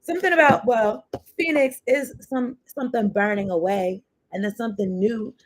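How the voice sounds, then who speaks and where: A woman's voice: on the high side, normal speed, low-quality recording. Rambling, chatty woman tarot reader. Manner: rambling, free-associating and chatty; swears casually. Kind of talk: casual tarot reading.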